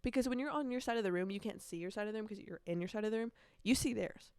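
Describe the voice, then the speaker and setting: A woman's voice: medium pitch, very fast, darkish tone, smooth, dry recording. A nineteen-year-old woman chatting casually on a solo podcast, rambling and correcting herself, with youthful slang and emphatic repetition. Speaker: podcast host.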